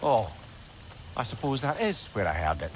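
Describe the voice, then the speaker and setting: A man's voice: mid-range voice, normal speed, a little hiss or hum. A man playing a chatty, witty, easily irked companion in a video game, with a British accent. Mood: curious, cautious. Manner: talkative, animated. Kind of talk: video game cutscene acting.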